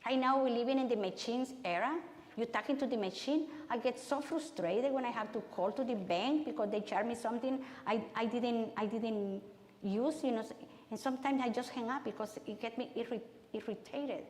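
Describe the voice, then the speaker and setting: A woman's voice: fairly high pitch, darkish tone, a little hiss or hum. Spanish-accented woman in an echoey hall. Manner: conversational, halting, many restarts. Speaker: guest speaker. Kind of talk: speaking to a church audience. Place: church hall. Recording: echoey hall with long reverb.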